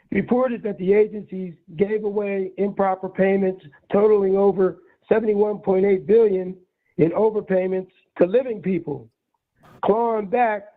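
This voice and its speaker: A hoarse man with a raspy voice, a street reporter, reading a news report in a measured, deliberate way. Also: high-pitched. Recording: tinny phone line, phoning in.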